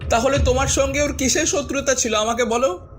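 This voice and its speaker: A frightened stammering villager in a horror cartoon, voice pushed very high. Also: male voice, a little fast, soft background music. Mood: terrified.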